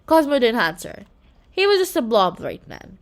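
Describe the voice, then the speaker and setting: A woman reading a children's story aloud in an expressive manner, occasionally stumbling and correcting herself. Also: a little high, normal speed. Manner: expressive, with slips and self-corrections. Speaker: children's book reader.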